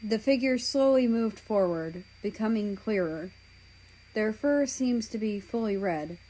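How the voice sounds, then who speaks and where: A woman's voice: mid-range voice, expressive, unhurried, dark tone, low-quality recording. A woman narrating a story aloud in a dramatic reading style. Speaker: fan fiction reader.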